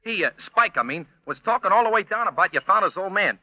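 An actor playing a scatterbrained, good-hearted American cab driver who stammers and repeats himself as comic relief in an old radio show. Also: male voice, high voice, animated, quick pace, no room sound. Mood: chatty. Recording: dull vintage radio recording.

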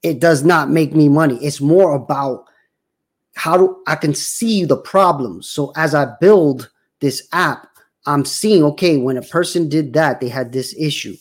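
A man's voice: on the high side, unhurried. Rambling man in his forties hosting. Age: 40s. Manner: rambling, self-correcting, many fillers. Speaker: show host. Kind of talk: casual livestream talk.

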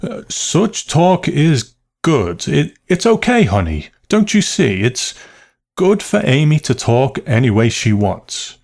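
A man's voice: fairly low pitch, animated, a little mellow. Man playing an anxious, over-agreeable father. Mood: strained, forced cheer. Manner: nervous, halting, over-eager reassurance.

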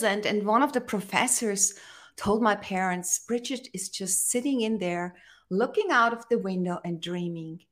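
An earnest woman from Austria talks as a guest on a call. Her voice sits a little high and she takes her time with each thought, pausing as she searches for words. She sounds sincere and uplifting. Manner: earnest, inspirational, slightly halting non-native phrasing. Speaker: podcast guest, brand strategist. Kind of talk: conversational interview.

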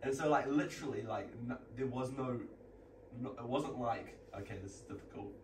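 A man's voice: medium pitch, moderate pace. A young man on a casual podcast with friends, talking in rambling, laughing stretches. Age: young adult. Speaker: podcast co-host, triathlete. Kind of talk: casual banter among mates. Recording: echoey room, distant mic, faint noise.

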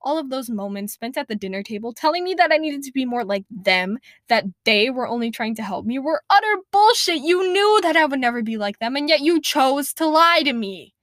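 A woman's voice: fairly high pitch, a little fast, hardly any pauses, a bit bright, no room sound, studio quality, voice pushed up, lightly acted. A woman voicing a bitter, distrustful young mother who talks in an angry, accusing way and swears.

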